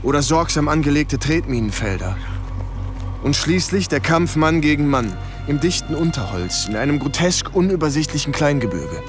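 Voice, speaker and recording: An actor playing a former soldier who recounts war in a sober, precise first-person radio drama narration. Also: male voice, fairly high pitch, animated, moderate pace, lightly acted. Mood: sober, haunted. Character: male ex-soldier soberly recalling war horrors. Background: music.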